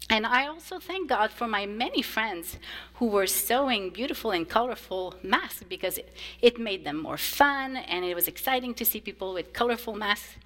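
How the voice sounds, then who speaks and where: A woman whose first language is French, a choir director, gives a gentle children's message in church. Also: a little high, animated, normal speed. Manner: warm, simple storytelling to children. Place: church sanctuary. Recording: long reverb of a church sanctuary.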